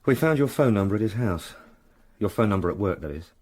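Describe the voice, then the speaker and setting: An actor playing a patient, polite and dogged police sergeant in a radio drama. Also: male voice, fairly low pitch, lively intonation, fairly quick, a little mellow. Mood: firm, formal. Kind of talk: naturalistic radio drama acting. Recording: noticeable background noise.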